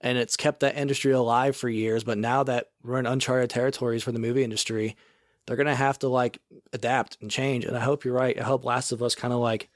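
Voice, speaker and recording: A man's voice: medium pitch, level tone, fairly quick, no echo, studio quality. A man co-hosting a casual podcast, ranting sarcastically and swearing, leaning on "like" as filler. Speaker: podcast co-host. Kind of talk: casual chat.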